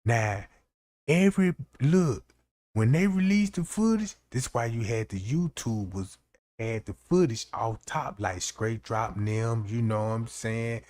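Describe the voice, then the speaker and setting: A man's voice: mid-range voice, animated, unhurried, darkish tone. A man hosting a livestream, giving loose, slangy commentary and often asking "you know what I'm saying". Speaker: livestream host. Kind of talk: casual commentary on a case.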